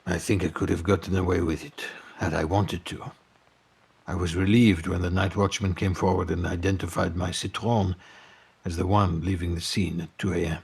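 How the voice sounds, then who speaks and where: A man's voice: sits low, level tone, normal speed, vocal fry, husky, exaggerated voice. A man playing a vain, bitter, jealous film producer and ex-convict in an audio drama, speaking in a pompous, theatrical, self-justifying way. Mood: indignant, haughty.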